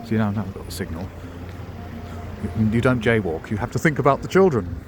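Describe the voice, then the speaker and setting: Middle-aged bloke, low voice, drifts between digressions and dry jokes, recorded on the street with faint traffic. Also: male voice, animated, fairly quick. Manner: wry, digressive, self-mocking asides. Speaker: travel vlogger. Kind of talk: rambling walking-tour commentary. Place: outdoors in a town centre street.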